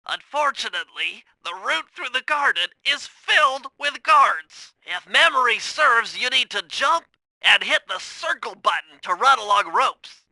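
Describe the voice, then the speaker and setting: A man's voice: very high voice, animated, measured pace, gravelly, pushed and bright, heavily acted, poor audio. A man voicing a nerdy, anxious, fussy turtle gadget expert in a cartoon video game, explaining things precisely. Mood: eager, precise.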